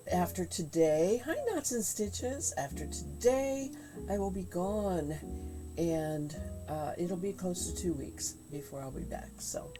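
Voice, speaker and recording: Woman, low voice, congested head cold, rambling casual stream chat, faint background music. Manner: rambling, halting with many fillers. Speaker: livestreamer spinning yarn. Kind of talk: casual chat while spinning. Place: home craft room. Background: faint quiet music.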